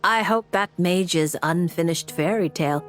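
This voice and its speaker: Actress as an enigmatic, slow-speaking mage lady. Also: lowish voice, lightly acted. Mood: wistful, inviting. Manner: theatrical, mysterious, deliberate. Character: enigmatic, composed noblewoman mage reading fortunes. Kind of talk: video game voice acting. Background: music.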